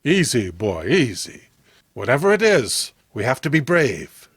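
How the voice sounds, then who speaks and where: A man voicing a plain-spoken sheriff's deputy in a horror story, grave and steady, with a rustic, reassuring way of talking. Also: mid-range voice, unhurried, slightly rough, no echo, clean studio sound, pitched below the performer's own voice.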